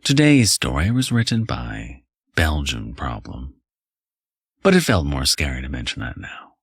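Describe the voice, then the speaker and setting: Extremely deep, raspy male announcer. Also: huge pitch swings, unhurried, no room sound, clean studio sound. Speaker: horror story narrator and host. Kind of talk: scripted credit announcement.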